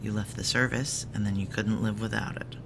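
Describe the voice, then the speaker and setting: A woman playing a gruff, world-weary ex-Ranger security chief in an audio drama, brusque, wry and commanding. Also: a bass voice, steady tone, fairly quick, background noise. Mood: wry, probing. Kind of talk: naturalistic audio drama acting with first-person narration.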